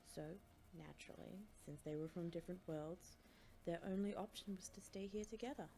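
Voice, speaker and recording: A woman playing a stern, disapproving academy professor in an audiobook drama, breathy and creaky, speaking in a measured, grave way. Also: lowish voice, mellow, faint music behind, close to an everyday voice.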